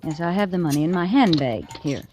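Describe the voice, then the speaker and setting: Cold scheming wife played by an actress, crackly old recording. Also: female voice, fairly low pitch, expressive, a little fast, mellow, highs cut off, natural voice. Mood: coolly menacing. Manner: calculating, insistent, persuasive. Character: cold, scheming politician's wife plotting betrayal and murder. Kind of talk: 1940s radio acting.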